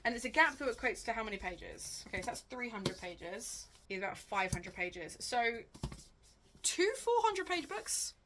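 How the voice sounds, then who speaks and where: Casting: female vlogger, bright forward voice, slightly high, chatty and wry, thinking aloud. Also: big pitch swings, moderate pace, a little hiss or hum. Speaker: book vlogger, host.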